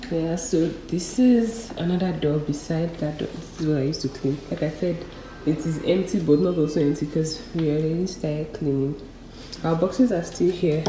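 A young woman chats her way through an empty apartment. Her voice sits low. She is recorded on a handheld phone in bare rooms that ring with echo, with steady noise behind her. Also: recorded from a distance. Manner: chatty, talks while walking through rooms. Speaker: vlogger. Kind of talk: casual vlog narration. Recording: echoey bare room with background noise.

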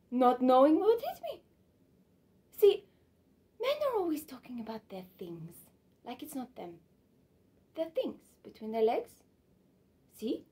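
A woman's voice: on the high side, a little mellow, pitched above the performer's own voice. Woman acting a frank, sensual young woman. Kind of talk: theatrical stage monologue.

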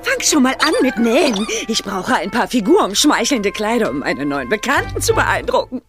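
A woman's voice: a little high, very animated, normal speed, fairly bright tone, faint music behind. A woman playing a vain wicked witch aunt, bossy and gushing, in a children's radio play. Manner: domineering, scornful, gushing.

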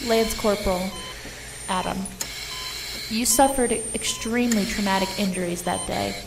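A woman playing a calm, grave, compassionate military doctor in an audio drama. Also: medium pitch, moderate pace, gravelly, recorded from a distance, noisy background, close to an everyday voice. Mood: calm, professional.

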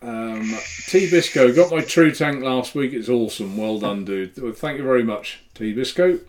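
A man's voice: mid-range voice, normal speed, a little mellow, slight background noise. A man in his sixties from Norfolk with a Norwich accent, co-hosting a casual live stream and reading viewer comments with hesitant fillers and frequent chuckles. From Norfolk, England. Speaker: co-host of a vaping live show. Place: home room, indoors.

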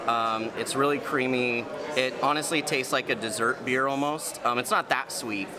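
Guy in his 30s chatting about beer at a cafe table, mid pitch, lots of crowd noise. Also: normal speed. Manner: chatty, enthusiastic, filler-heavy. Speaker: beer reviewer. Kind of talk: casual beer tasting review. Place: busy brewery cafe indoors. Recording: loud cafe crowd behind.

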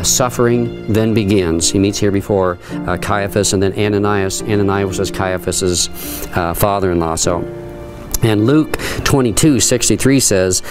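A middle-aged man leading a tour and teaching, reading scripture aloud and explaining it earnestly, with frequent fillers and restarts, on a stone terrace outdoors. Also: on the low side. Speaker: tour guide and Bible teacher. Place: outdoor stone terrace, then an underground rock dungeon.

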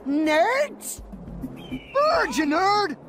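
An actor voicing an excitable, teasing young male opossum in a video game cutscene. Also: extremely high pitch, very slow, heavily acted. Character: hyperactive cocky young male opossum prankster. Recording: music under the voice.

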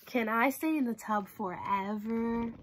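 A woman's voice: fairly high pitch, measured pace. A woman voicing a stubborn, dramatic, whiny cartoon pigeon in a children's storybook read-aloud. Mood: gleeful. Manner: whiny, exaggerated, protesting.

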